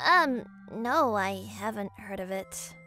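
A woman voicing a bashful but feisty, secretly sweet teenage schoolgirl maid in a manga romcom dub, shy and halting. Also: medium pitch, animated, bright, forceful tone. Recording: music behind the voice.

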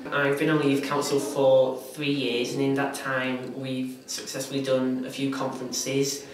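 A young man speaks in a flat, even tone, pausing on fillers. He sits back from the mic in a very echoey room, with music playing underneath. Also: medium pitch. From Carmarthenshire, Wales. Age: young adult. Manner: flat, measured, hesitant with fillers. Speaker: youth council volunteer. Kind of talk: documentary interview. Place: indoor room. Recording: very echoey, distant, music over.

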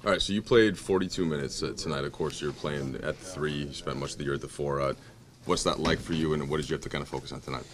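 A male sports reporter asking rapid, rambling postgame questions with restarts. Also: very deep voice.

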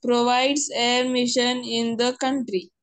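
A woman student on a video call reading a text aloud haltingly, stumbling and restarting words. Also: a little high, little pitch movement.

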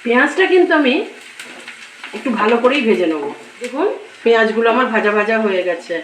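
A woman hosting a cooking channel, giving recipe instructions in a measured, step-by-step way in short phrases. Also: a little high, animated, slight background noise. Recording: roomy echo, music behind.

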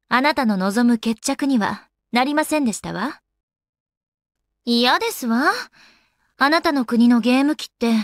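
A woman's voice: high-pitched, no room sound, studio quality. A woman playing an elegant, doting young goddess in an anime game, speaking in polite, ladylike phrases. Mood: defiant, then teasing. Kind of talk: Japanese anime game voice acting.

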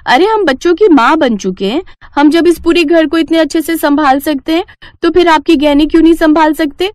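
A woman's voice: high voice, fairly quick, darkish tone, no room sound, studio quality, pitched above the performer's own voice, close to an everyday voice. A woman voicing a greedy, pushy grown daughter-in-law in a cartoon moral story, complaining and eager. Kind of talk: animated moral story dialogue.

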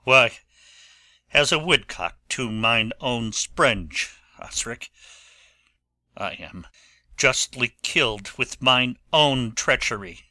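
A man's voice: medium pitch, expressive, slow pace, pushed and bright, dry recording, full character voice. An actor playing a proud young nobleman with intense theatrical delivery in a Shakespeare audiobook. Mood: dying, remorseful. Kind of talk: dramatic Shakespeare audiobook reading.

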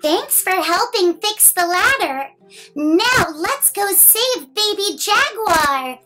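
A woman's voice: extremely high pitch, animated, takes it slowly, bright, forceful tone, soft background music. A woman in her thirties reading a picture book aloud to young children, playful and exaggerated, asking the listeners questions.

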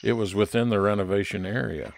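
A man's voice: lowish voice, steady tone, fairly quick, gravelly, background noise. A man playing an observant, wry private investigator, matter-of-fact, in old-time radio detective style.

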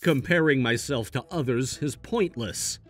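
A man's voice: mid-range voice, measured pace, fairly bright tone, soft background music. An actor playing an earnest, downbeat young male yakuza narrating in a scripted anime dub. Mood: earnest, subdued.

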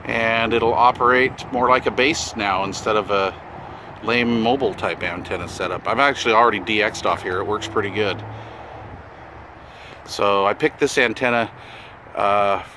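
A man with a fairly low voice talks through his hobby setup in an informal, explanatory way. He records outdoors on a handheld camera, with clear ambient noise behind him. The delivery is plain-spoken and casual. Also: moderate pace. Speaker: amateur radio hobbyist presenting his setup. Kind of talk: casual walkthrough narration. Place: outdoors beside a camper trailer, briefly inside it. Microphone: handheld camera or phone, held by the speaker.

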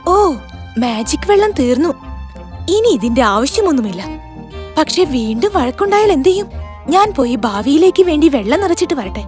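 Woman doing a naggy young wife in a cartoon, super high voice, very animated, sharp tongued, bit of music. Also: normal speed. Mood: pleased. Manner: complaining, emphatic.

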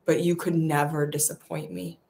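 A woman leading a guided affirmation meditation, speaking softly and soothingly with slow, repetitive phrases. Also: low-pitched, flat delivery, vocal fry.

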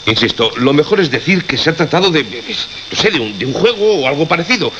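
An actor playing a nervous, insistent radio colleague, urgent and pressing, in a suspense drama. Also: male voice, fairly high pitch, big pitch swings, fast. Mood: anxious, urgent. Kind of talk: Spanish radio drama acting. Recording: dull, noisy radio recording.

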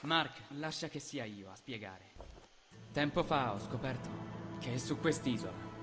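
A man voicing a calm, courteous young athlete and investigator in an Italian anime fan dub. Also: medium pitch, unhurried, natural voice. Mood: serious. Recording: clear music behind voice.

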